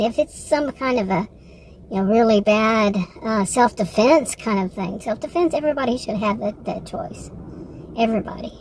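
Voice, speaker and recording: A woman with a Texan accent and a fairly high voice talks off the cuff in a casual personal vlog. She rambles and halts, circling back to correct herself. There is clear background noise on the recording. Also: a little mellow, low-quality recording. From Texas, United States. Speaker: vlogger.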